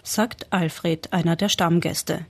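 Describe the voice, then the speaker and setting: Woman narrator for a radio feature, husky and a touch low, measured reads. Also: fairly even intonation. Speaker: radio reporter. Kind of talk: scripted narration.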